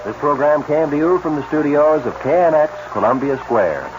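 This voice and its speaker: A man's voice: on the high side, expressive, normal speed. A man with an American accent reading scripted announcements and commercials in a 1940s radio style. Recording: muffled vintage radio broadcast, music behind.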